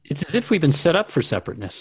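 A man's voice: a little high, sing-song, rapid-fire, husky, phone-quality sound. A man hosting a radio show, speaking reflectively in scripted radio drama dialogue.

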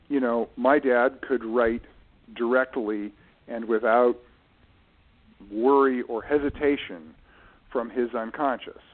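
Mature man on a tinny phone line. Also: medium pitch. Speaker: interview guest, author and producer. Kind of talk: conversational interview answers.

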